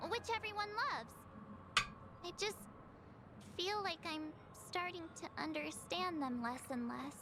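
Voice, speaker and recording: A woman's voice: very high-pitched, background noise. A woman playing an anxious, sweet, childlike companion in a video game, speaking in a plaintive, musing way. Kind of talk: scripted anime-style game dialogue.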